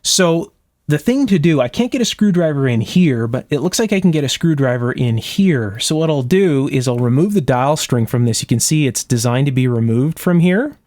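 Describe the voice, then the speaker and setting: A man's voice: fairly high pitch, lively intonation, moderate pace, a little mellow, no room sound. Man explaining repair work, dry close sound. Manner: casual, methodical step-by-step explaining. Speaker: host of an electronics restoration channel. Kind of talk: hands-on workshop demonstration, thinking aloud. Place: indoor electronics workshop.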